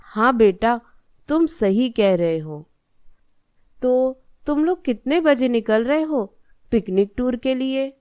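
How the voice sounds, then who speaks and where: Woman voicing a high, clear, gentle grandmother. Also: lively intonation, takes it slowly, no room sound, studio quality. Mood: accepting. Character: gentle, practical grandmother soothing her husband. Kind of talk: Hindi moral-story cartoon dialogue.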